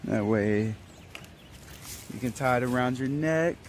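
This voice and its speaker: A man's voice: mid-range voice, measured pace. A man giving a casual product demonstration as he unboxes, recorded outdoors from a step or two away. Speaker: product reviewer. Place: outdoors, wooded yard. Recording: faint outdoor background noise.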